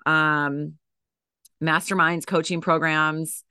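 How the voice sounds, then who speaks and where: A woman's voice: low-pitched, level tone, slow, pushed and bright, smooth, no room sound, studio quality. A woman with a scratchy, tired voice from teaching, chatting as a podcast guest with lots of fillers and restarts. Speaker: podcast guest, dance educator and coach. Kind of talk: casual interview chat.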